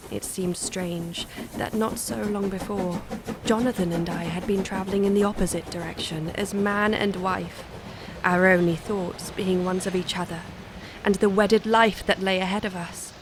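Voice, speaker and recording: Lady as haunted young wife in gothic radio play, composed but intense, medium pitch, bit of noise. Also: fairly even intonation, moderate pace, close to an everyday voice. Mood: somber narration. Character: haunted, resolute young wife cursed by a vampire. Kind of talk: audio drama acting and narration. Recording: audible background noise.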